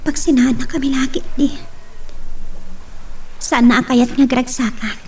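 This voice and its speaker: A sad, neglected young daughter in a radio drama. Her voice is high, soft and dark with a breathy husk, heard at some distance from the microphone. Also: steady tone, unhurried. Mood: sorrowful.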